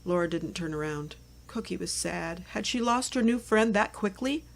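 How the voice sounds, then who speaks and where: A woman reading a children's chapter book aloud as narrator, in clear, simple phrases meant for young listeners. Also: on the low side, lively intonation, normal speed. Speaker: storybook narrator.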